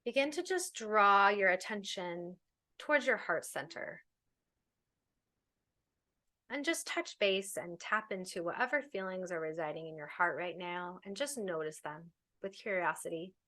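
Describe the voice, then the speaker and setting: A woman leading a guided meditation as a teacher, giving soothing, unhurried instructions with pauses. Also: lowish voice, expressive, bright, forceful tone.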